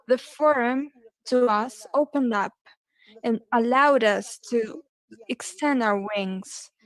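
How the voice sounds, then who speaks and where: A woman interpreting a panel discussion live, her voice set a little higher than most. She moves slowly and haltingly, pausing often and correcting herself mid-phrase. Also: low-quality recording. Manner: halting, with pauses and self-corrections. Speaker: simultaneous interpreter.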